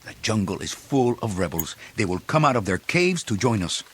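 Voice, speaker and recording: An actor playing an impatient, proud rebel leader with a curt, commanding manner in a radio drama. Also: male voice, fairly low pitch, sing-song, moderate pace, fairly bright tone, slight background noise. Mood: proud, insistent. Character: impatient, proud male rebel leader marching through jungle. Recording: clear insect and jungle ambience.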